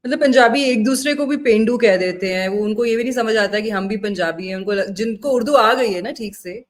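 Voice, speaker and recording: A woman with an Indian accent and a slightly high-pitched voice hosts an interview. She talks in a conversational way about serious matters. English words are mixed into her speech. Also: fairly quick. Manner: mixes Hindi-Urdu with English words. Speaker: interview host. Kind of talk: conversational political interview, long questions.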